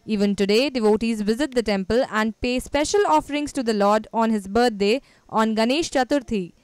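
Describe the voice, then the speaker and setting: A woman's voice: on the high side, very slow, darkish tone, smooth, dry recording. A woman with an Indian accent reading scripted narration for a news feature as a reporter.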